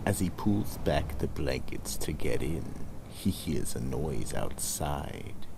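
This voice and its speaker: An extremely deep, gravelly actor's take on an ominous male horror host narrates radio mystery theatre. He is slow and dramatic, with swooping intonation. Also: very animated, background noise, exaggerated voice. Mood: ominous, solemn.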